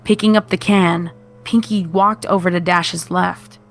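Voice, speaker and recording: Low-voiced woman narrating over music. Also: steady tone, unhurried, creaky. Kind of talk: scripted narration of a horror story. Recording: music playing behind the voice.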